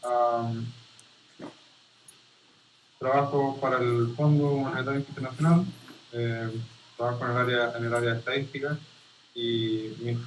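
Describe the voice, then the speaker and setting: Halting Chilean man on a roomy call. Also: medium pitch, fairly even intonation, a little hiss or hum. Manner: halting, often restarting phrases. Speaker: statistics specialist giving a guest lecture. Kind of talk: informal lecture over videoconference. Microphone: video call. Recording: roomy, dull video-call audio.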